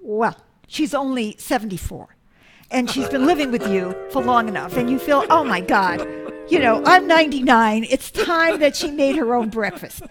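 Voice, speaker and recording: A woman's voice: high voice, expressive, normal speed, poor audio. A woman in her seventies hosting a show, talking to viewers in a rambling, self-mocking way full of joking asides. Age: 70s. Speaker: host. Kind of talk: casual monologue to viewers. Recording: loud music playing behind.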